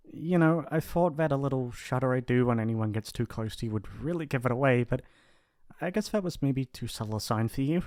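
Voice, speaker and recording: A man's voice: mid-range voice, darkish tone, dry recording, clean studio sound. A man playing a shy, apologetic young mafia boss's son in an intimate ASMR roleplay, with a hesitant, rambling, awkward delivery. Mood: shy, confessing.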